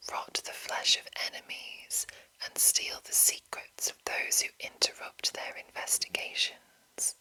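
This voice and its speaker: A woman hosts ASMR in a steady whisper, reading aloud and adding soft asides. Her delivery is slow and lingering, with a very crisp, bright edge to each hushed phrase. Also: poor audio. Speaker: ASMR host reading a monster manual.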